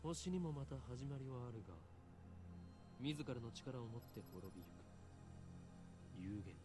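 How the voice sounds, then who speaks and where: A man's voice: medium pitch, lively intonation, quick pace, close to an everyday voice. A man playing a grave, intense young narrator brooding over fate in a theatrical Japanese visual novel monologue. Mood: solemn. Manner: theatrical, portentous. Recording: game audio with music over it. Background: prominent game music.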